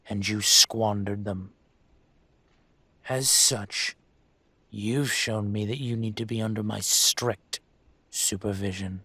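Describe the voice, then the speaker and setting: A man playing a dragon mafia boss in an audio roleplay, speaking with measured, condescending menace and smug control. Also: fairly low pitch, sharp tone, slightly rough, no echo, heavily acted. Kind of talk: scripted roleplay monologue to the listener.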